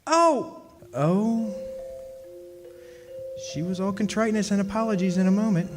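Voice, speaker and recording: An actor playing a wry, centuries-old henpecked husband of a witch in a radio play, telling his story in measured dramatic style. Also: high-pitched. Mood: flustered, apologetic. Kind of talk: dramatic first-person radio play narration. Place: stage performance in a community club hall. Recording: echoey hall, music behind.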